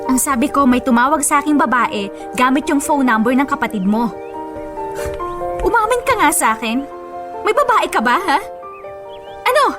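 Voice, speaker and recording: A woman acting as a devoted, emotional young girlfriend in a radio love drama, with music behind her. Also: high-pitched. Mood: suspicious, confronting. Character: affectionate young girlfriend, heartbroken and furious when betrayed. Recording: background music under dialogue.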